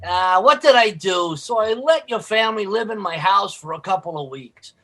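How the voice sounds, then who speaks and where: A freeloading working-class neighbor in a radio sitcom. His very high, sharp voice delivers dry wisecracks and noble-sounding sentiment in rough grammar. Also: lively intonation, normal speed, heavily acted. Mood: mock-noble, sentimental. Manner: wisecracking, ungrammatical, mock-noble. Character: working-class next-door neighbor and best pal, dry-witted, freeloading. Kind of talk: old-time radio comedy acting.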